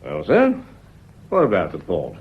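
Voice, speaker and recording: A man's voice: deep voice, wildly swooping pitch, unhurried, husky, narrow, tinny audio, exaggerated voice. A man playing a polite, shrewd village police inspector, probing and drily understated, in a period mystery radio play.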